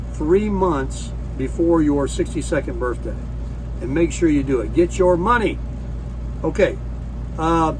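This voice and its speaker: A man's voice: fairly high pitch, noisy background. A man in his sixties giving a folksy, chatty explainer talk from inside a small camper. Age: 60s. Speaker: vlogger. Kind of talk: casual explainer talk to camera.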